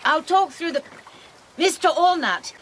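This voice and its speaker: An actress playing a prim, determined missionary spinster who speaks in a proper, insistent manner. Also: female voice, extremely high pitch, expressive, measured pace, a little hiss or hum. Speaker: actor. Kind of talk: radio drama acting.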